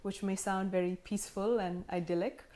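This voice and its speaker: A woman in her thirties, heavily pregnant, delivering a sincere, measured acceptance speech with gentle humor, recorded at home in her living room. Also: mid-range voice, flat delivery. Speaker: prize winner giving acceptance speech. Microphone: camera in front, seated framing.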